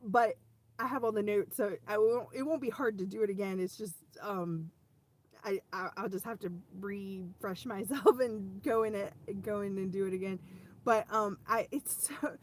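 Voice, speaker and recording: A woman hosting a casual faith talk for her viewers, her voice hoarse and wearing out, rambling with frequent restarts. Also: medium pitch, normal speed. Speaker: ministry host speaking to viewers.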